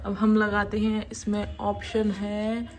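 A woman's voice: mid-range voice, completely flat delivery, slow pace, a little mellow. A woman in her thirties, a mother and home cooking vlogger, chatting step by step to viewers as she demonstrates a recipe in her small home kitchen, recorded on a phone held close. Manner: chatty, step-by-step explaining, addresses viewers as guys. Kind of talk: casual cooking demonstration to camera.

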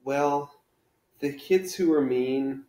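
A man's voice: medium pitch, slow, dark tone, pitched above the performer's own voice, lightly acted. A man reading a children's book aloud as an earnest, awkward young schoolgirl, with hesitant delivery. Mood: guilty, downcast. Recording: roomy living-room echo.

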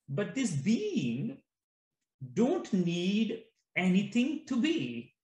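Male meditation teacher, slightly high voice, very unhurried, deliberate phrasing with pauses, echoey room sound. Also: darkish tone. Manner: measured, repetitive phrasing with frequent pauses. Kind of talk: guided meditation, slow deliberate instruction.

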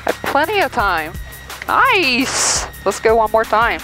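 A woman's voice: on the high side, huge pitch swings, takes it slowly, low-quality recording. A middle-aged woman working as a flight instructor, giving casual step-by-step instructions over an aviation headset. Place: small airplane cockpit in flight. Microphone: aviation headset boom mic. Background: music, aircraft.

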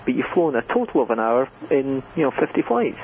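A man with a gravelly voice chats casually over a tinny phone line. His remarks are short and dry, with faint noise in the background. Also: medium pitch, very animated, a little fast. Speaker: podcast host calling in. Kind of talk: casual chat.

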